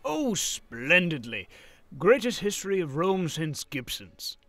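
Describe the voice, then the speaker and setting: An actor playing a kindly, whimsical old professor with a theatrical, musing manner in period drama style. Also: male voice, on the high side, sing-song, takes it slowly, fairly bright tone, no echo, heavily acted. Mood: jovial. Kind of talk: period radio-drama acting.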